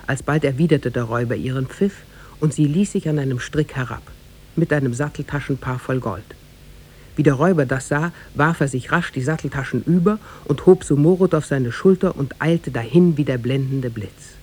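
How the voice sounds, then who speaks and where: A male narrator tells a tale in formal, literary phrasing. His pitch sits in the middle and his delivery is measured, in the manner of a 1950s radio play. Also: breathy edge. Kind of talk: scripted storytelling narration, 1950s German radio drama.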